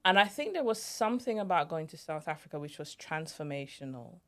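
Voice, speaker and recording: Woman on a podcast, lowish voice, reflective storytelling, does the voices of people she remembers. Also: lively intonation, brisk, a bit bright. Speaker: podcast co-host. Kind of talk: casual storytelling chat.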